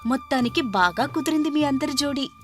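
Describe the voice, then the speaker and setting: A woman plays a sensible village wife in a cartoon moral tale. She sounds frank and conversational, fairly high, over faint music. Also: lively intonation, a little fast. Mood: teasing, admiring. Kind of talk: cartoon moral-story dialogue.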